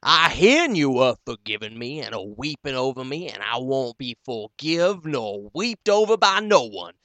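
A man's voice: medium pitch, very animated, a little slow, pushed and bright, no echo. A man voicing an angry, bossy drunk man who roars orders from down a well. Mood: roaring. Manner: mad and bossy, roaring.

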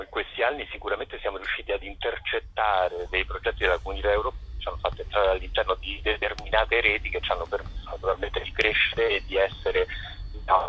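A man's voice: low-pitched, fairly bright tone, husky, muffled recording. A man answering interview questions as a festival director, explaining at length in a hesitant, discursive way.

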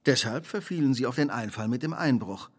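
An actor playing a shrewd, relentless detective in a radio drama, speaking precisely, formally and theatrically. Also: male voice, mid-range voice, lively intonation, brisk, slightly rough. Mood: accusing, assured. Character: shrewd, relentless male detective laying out a crime.

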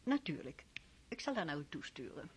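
A woman's voice: low-pitched, big pitch swings, normal speed, a little hiss or hum. An actress playing a dignified, courteous middle-aged countess and mother in a radio play.

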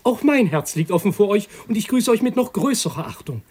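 An actor playing a cheeky, eager clown servant who outdoes his friends in courtesy in a theatrical radio play, his voice raspy. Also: sits high, big pitch swings, fairly quick, a little mellow, heavily acted. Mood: effusive. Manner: effusive, playful one-upmanship.

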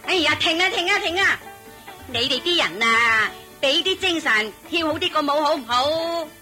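A shrill greedy dance troupe boss nagging her dancers, high piercing voice, some music behind. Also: expressive, normal speed, sharp tone. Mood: scolding. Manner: scolding, exasperated nagging. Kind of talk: Cantonese radio drama acting.

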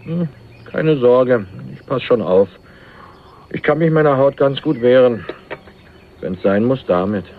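An actor playing a guarded, casual young heir in a radio crime drama, answering in clipped, offhand phrases. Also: male voice, medium pitch, expressive, a little slow, pauses often, phone-quality sound, a little hiss or hum, close to an everyday voice. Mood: confident. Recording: band-limited radio play recording.

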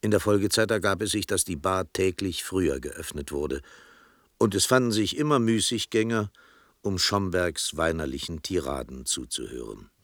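A man with a very deep voice narrates a radio drama. He tells the story in a measured, literary manner, with a very dry studio sound around the voice. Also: expressive, fairly bright tone, no room sound.

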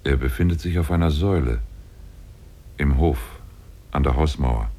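An actor playing a powerful, lovestruck and possessive demon in a 1950s German radio play, speaking solemnly. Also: male voice, normal speed, mellow, heavily acted. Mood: tender, confiding. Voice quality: very deep, husky.

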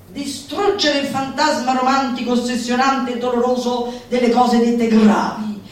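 A woman performer reading a manifesto as theatrical declamation, deliberate and declamatory, with dramatic pauses. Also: on the high side, a little mellow. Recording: roomy, echoing, distant mic, faint noise.

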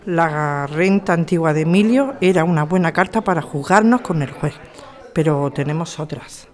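A man playing a calm, frank campaigner in a radio drama, with an accent from Spain. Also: fairly high pitch, moderate pace, close to an everyday voice. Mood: frank, appreciative. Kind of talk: amateur radio drama acting.

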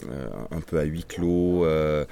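A man whose first language is Swedish, a crime novelist answering interview questions in a reflective, halting way with many restarts. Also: a bass voice, steady tone, mellow, smooth.

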